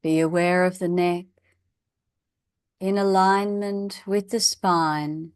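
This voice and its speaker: Slow-paced woman guiding meditation. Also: lowish voice, steady tone, clean tone, dry recording, studio quality. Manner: measured, instructional, with long pauses. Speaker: meditation teacher. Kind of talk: guided meditation.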